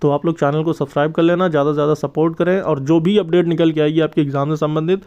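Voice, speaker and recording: A man's voice: on the high side, fairly even intonation, quick pace, a little mellow, clean tone, highs cut off. A man giving an informal update to viewers as a channel host and tutor, explaining earnestly and wordily.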